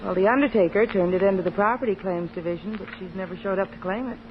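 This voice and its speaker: A woman's voice: medium pitch, fast, close to an everyday voice. Woman playing an efficient secretary, noisy old recording. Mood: businesslike. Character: efficient, deferential female office secretary to district attorney. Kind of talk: 1950s radio drama acting. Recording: noisy, muffled 1950s radio recording.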